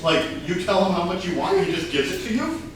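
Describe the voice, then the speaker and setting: A man playing an excitable, mouthy, sarcastic murder suspect in a staged comedy reading. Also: sits high, fast. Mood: puzzled. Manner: exclaiming, sarcastic. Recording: very echoey room, distant mic, clear noise.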